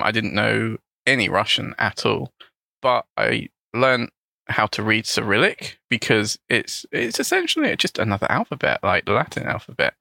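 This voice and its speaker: A man co-hosting a language podcast. His voice is bright and crisp with a gravelly edge, and it swings up and down as he talks. He speaks in a relaxed, thoughtful way, with a few small restarts, and the recording is close and dry. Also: on the low side, dry recording. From England. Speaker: podcast co-host, English teacher. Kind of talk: casual podcast chat.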